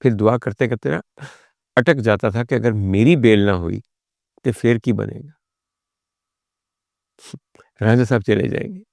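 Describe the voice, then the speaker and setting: A man's voice: fairly low pitch, measured pace, gravelly, long pauses, darkish tone, no room sound, studio quality. A Pakistani man telling a personal story as a monologue, in a dramatic, emphatic raconteur's manner. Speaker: talk show guest and storyteller.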